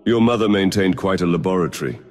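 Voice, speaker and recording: A man playing a stoic, terse adventurer hero in a video game, with a husky voice and formal manner. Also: sits low, lively intonation, fast talker, a little mellow, soft background music. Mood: stoic, inquiring. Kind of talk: video game character dialogue.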